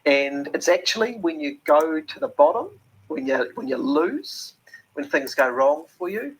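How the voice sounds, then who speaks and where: Casual: kiwi guy with a raspy low creaky edge, earnest, restarts a lot. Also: sing-song, measured pace, rough recording. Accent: New Zealand. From New Zealand. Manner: earnest, many ums and restarts. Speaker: interview guest. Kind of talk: reflective interview talk.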